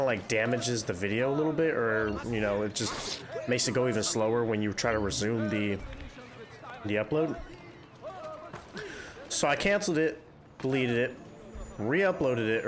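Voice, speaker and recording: A young man with a congested voice, chatting in a rambling, candid way while hosting a game playthrough. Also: mid-range voice. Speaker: gaming channel host. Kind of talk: casual chat during a game playthrough.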